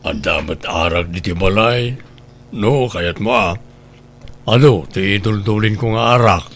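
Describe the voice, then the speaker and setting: A man's voice: fairly low pitch, unhurried, creaky, fairly bright tone, exaggerated voice. A man with an Ilocano Filipino accent playing a hesitant, apologetic older brother in a comedy drama. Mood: casual.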